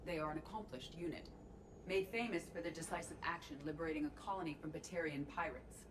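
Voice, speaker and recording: A woman's voice: deep voice, fairly even intonation, fast, natural voice. A woman playing a poised, grave alien officer in a video game, speaking formally and precisely. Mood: grave, measured. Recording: noisy game ambience behind voice.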